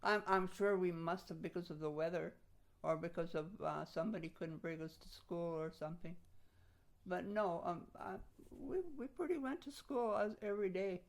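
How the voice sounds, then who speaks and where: Older woman from Slovakia, lowish voice, reminiscing. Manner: halting, repeats phrases, chuckles while recalling. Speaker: interviewee. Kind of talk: casual reminiscing in an interview.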